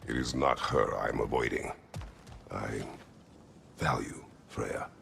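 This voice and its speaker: Gruff stoic warrior, extremely low pitch, thick gravelly rasp, terse formal phrasing, brooding, video game drama. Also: male voice, slow pace, fairly bright tone, slight background noise, heavily acted. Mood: guarded, brooding. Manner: terse, formal, uncontracted speech.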